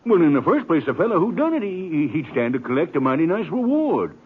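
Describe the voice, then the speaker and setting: Casting: folksy frontier banker, raspy, swooping pitch, rural Western drawl, tinny vintage radio sound. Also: male voice, very animated, fast talker. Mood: exclaiming. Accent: rural Western American. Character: folksy, excitable old-West small-town banker, blustering and incredulous. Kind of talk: old-time radio western acting.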